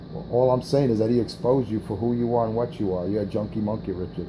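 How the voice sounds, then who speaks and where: A man's voice: lowish voice, fairly even intonation, fast talker, background noise. A man trash-talking on a CB radio morning show, brash and profane, taunting another operator and repeating his jabs.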